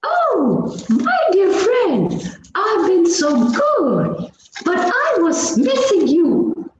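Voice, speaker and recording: A woman's voice: extremely high pitch, wildly swooping pitch, extremely slow, a little hiss or hum, heavily acted. A woman doing Kitty, a sing-song little girl. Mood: affectionate. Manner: sing-song, bright and greeting. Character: cheerful little girl Kitty, friendly and sweet. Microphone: video call. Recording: echoey dull video-call audio.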